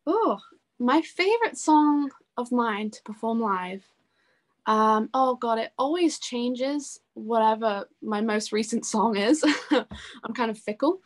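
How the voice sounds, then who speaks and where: A woman's voice: fairly high pitch, lively intonation, slow. A woman in her twenties chatting casually in an interview, effusive and self-deprecating, with a giggly way of talking. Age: 20s. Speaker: singer-songwriter being interviewed.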